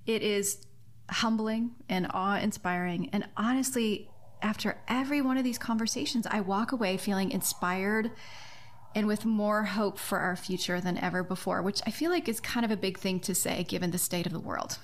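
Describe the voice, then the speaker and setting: A woman's voice: medium pitch, normal speed, a little hiss or hum. A woman hosting a podcast in a conversational style, speaking earnestly and effusively. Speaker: podcast host and author.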